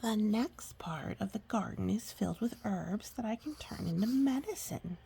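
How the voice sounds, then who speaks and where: A woman voicing a gentle, wise grandmother in a storybook, with a breathy, hushed and tender way of teaching. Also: medium pitch, expressive, a little hiss or hum. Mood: kindly, instructive. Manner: slow, tender, instructive. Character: gentle, wise grandmother who loves gardening.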